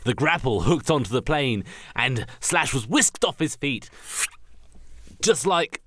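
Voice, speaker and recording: A man's voice: mid-range voice, lively intonation, takes it slowly, a bit bright. A man narrating an improvised comic radio play in a theatrical, rambling way, often correcting himself. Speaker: podcast host narrating a story.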